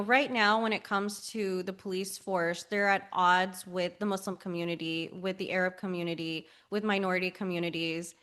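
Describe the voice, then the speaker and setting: A woman hosting an interview, earnest and warm toward her guest, with many fillers. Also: mid-range voice. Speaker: podcast host.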